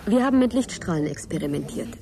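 An actress playing a guarded, sceptical middle-aged scientist in a naturalistic radio drama, direct and questioning in conversation. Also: female voice, on the low side, lively intonation, quick pace, vocal fry. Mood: reflective, matter-of-fact. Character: guarded divorced female scientist, sceptical, frank, wary. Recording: crowd chatter behind, café scene. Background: café crowd chatter.

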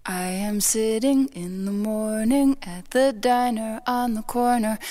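A woman's voice: a little high, slow pace, mellow, faint music behind. A woman singing a pop song in a narrative, conversational style. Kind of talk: sung pop song in English.

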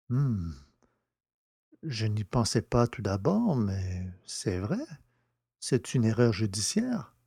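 A man playing a naive, self-pitying poacher in prison, easily flattered and grandiose, in a theatrical, exclamatory audio drama style. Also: mid-range voice, exaggerated voice. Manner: plaintive, exclamatory, drawn-out words. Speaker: actor in an amateur audio drama.

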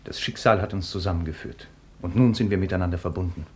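An actor playing an earnest, stoic and protective police investigator in a 1950s radio play, speaking in a measured, sober way. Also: male voice, low voice, natural voice. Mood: sober, restrained.

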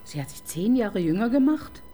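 A woman's voice: mid-range voice, sing-song, moderate pace, mellow. An actress playing a skeptical female police inspector in a radio crime drama, probing her colleague with dry irony. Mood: interrogating. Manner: dry, probing, ironic questioning. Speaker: detective chief inspector.

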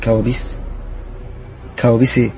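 A man's voice: mid-range voice, flat delivery, brisk, mellow, lightly acted. A man playing a jittery young Egyptian guy. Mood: worried. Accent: Egyptian Arabic. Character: nervous young man, defensive and scared.

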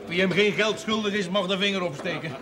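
A man's voice: sits high, a little fast, lightly acted. An actor playing a sceptical, argumentative village man with a sardonic manner in a Dutch period TV drama. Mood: sardonic, warning. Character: sceptical villager warning about a scheming notary. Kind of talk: 1970s Dutch television period drama acting. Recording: TV soundtrack with crowd murmur.

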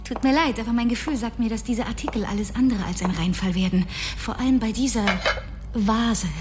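An actress playing a polite, earnest young assistant, apologetic in manner, in a science fiction radio drama. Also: female voice, fairly high pitch, a little fast, noisy background, natural voice. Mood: apologetic but insistent. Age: young adult. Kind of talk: German radio drama acting.

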